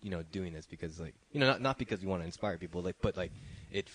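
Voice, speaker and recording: A man's voice: low-pitched, lively intonation, quick pace, a bit bright. A man co-hosting a music podcast, congested and a little nasal from allergies, rambling and joking with many restarts. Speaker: podcast co-host. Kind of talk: casual podcast banter.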